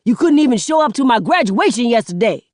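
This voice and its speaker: A woman voicing a hurt young man who shouts and swears at his mother. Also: medium pitch, expressive, normal speed, no room sound, clean studio sound, lightly acted. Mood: angry.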